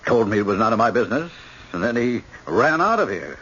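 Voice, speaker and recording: An American actor playing an obliging prison warden with firm authority, measured and courteous in a radio drama. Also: male voice, fairly low pitch, very animated, a bit bright, a little hiss or hum. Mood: matter-of-fact. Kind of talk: 1970s radio drama acting. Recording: dull, band-limited old radio broadcast.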